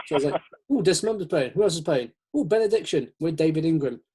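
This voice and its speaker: A man's voice: fairly high pitch, animated, brisk, warm tone, slightly rough. A man in a casual, rambling, jokey chat, acting out little quotes as he goes. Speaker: host of a metal chat show.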